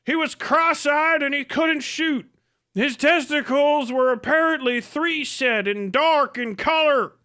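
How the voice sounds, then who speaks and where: A man voicing a solemn old-time chronicler, telling a wretched legend in a deliberate, pompous, mock-grand comedy style. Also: very high voice, bright, forceful tone, heavily acted.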